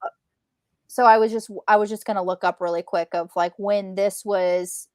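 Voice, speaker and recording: A woman talks casually about books as a co-host. Her pitch is average and the sound is dry, with little room echo. She retells things in a chatty, animated way, slipping into quoted asides. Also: moderate pace. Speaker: book club co-host. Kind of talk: casual book discussion.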